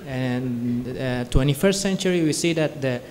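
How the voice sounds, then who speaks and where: A man's voice: medium pitch, normal speed, a little mellow. A young man from Afghanistan, an economics student, asking a question from the audience with many hesitant fillers. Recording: reverberant hall, faint background noise.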